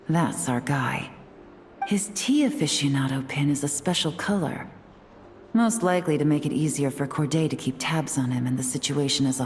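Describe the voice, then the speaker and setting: Game role: calculating woman detective played by an actress, deep for a woman, unruffled and persuasive. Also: a little mellow. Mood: observant, calculating. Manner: composed, sly, persuasive. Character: cool, shrewd, calculating female investigator. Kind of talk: video game voice-over.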